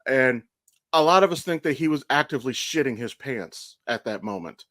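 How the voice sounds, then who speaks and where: An American man talks casually as a podcast co-host. His voice sits at a medium pitch and is recorded dry, with no room around it. He tells things bluntly and animatedly, swearing freely as he goes. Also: normal speed, no echo. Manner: blunt, profane, animated storytelling. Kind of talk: casual chat.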